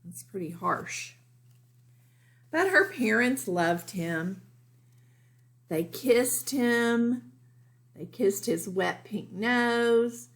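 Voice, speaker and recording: A woman reading a picture book aloud as a teacher, deliberate and emphatic, for young children. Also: fairly high pitch, expressive. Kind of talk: picture-book read-aloud with informal asides.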